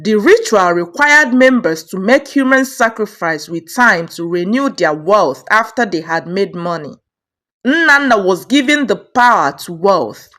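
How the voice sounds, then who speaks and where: A woman's voice: mid-range voice, a bit bright. A woman narrating a folktale as a storyteller, rising and swelling on the dramatic turns. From Nigeria.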